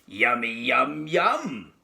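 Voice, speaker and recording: A man voicing a sly, ravenous crocodile villain in a storybook, savouring his words slowly and gloatingly. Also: medium pitch, sing-song, vocal fry, pushed and bright, full character voice. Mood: scheming, gloating.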